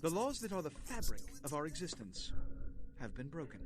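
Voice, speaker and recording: An actor playing a solemn, pompous vampire prince in a video game, speaking in formal, measured, grandiloquent oratory. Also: male voice, fairly high pitch, very animated. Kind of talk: theatrical video game speech.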